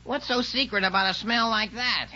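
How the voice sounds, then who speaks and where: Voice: mid-range voice, normal speed, a loud crowd, natural voice. A performer playing a young boy who tosses out dry, mocking quips in 1940s radio comedy. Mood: wry. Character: wisecracking, sarcastic young boy. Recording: muffled, faintly noisy 1940s radio recording.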